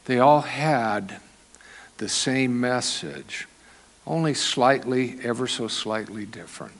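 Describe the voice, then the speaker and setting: A man's voice: mid-range voice, slow pace. A man preaching a sermon into a microphone, in a conversational teaching manner with loose asides. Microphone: microphone with volume control.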